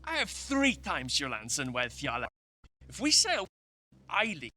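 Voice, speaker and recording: An actor playing a boastful, irritable, wealthy Viking jarl in a video game. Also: male voice, a little high, wildly swooping pitch, measured pace, sharp tone, heavily acted. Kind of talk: cinematic video game acting.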